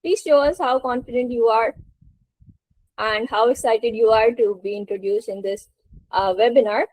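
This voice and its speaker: A woman's voice: fairly high pitch, normal speed, a little mellow, rough recording. A woman teaching a spoken English lesson to a group on an online call, encouraging and prompting participants. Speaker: English teacher hosting a webinar.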